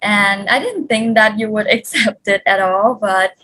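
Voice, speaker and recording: A woman's voice: mid-range voice, measured pace, a bit bright, rough recording. Chatty woman podcast host. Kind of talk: casual chat.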